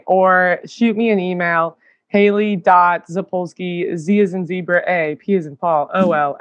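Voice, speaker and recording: A woman guest in casual conversation, eager and talking in quick bursts with plenty of fillers. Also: lowish voice, no echo. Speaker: podcast guest. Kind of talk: casual chat.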